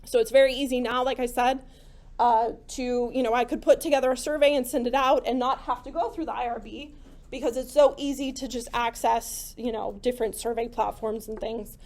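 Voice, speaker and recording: High-voiced woman lecturing, slightly hoarse. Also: steady tone, fairly quick, a little mellow. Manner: conversational, many "like" and "right?" fillers. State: slightly hoarse, losing her voice. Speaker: psychology lecturer. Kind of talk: classroom lecture. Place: lecture room.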